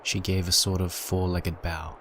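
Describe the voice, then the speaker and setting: A man reading a dark story aloud in a measured, dramatic narration. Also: deep voice, little pitch movement, a little hiss or hum.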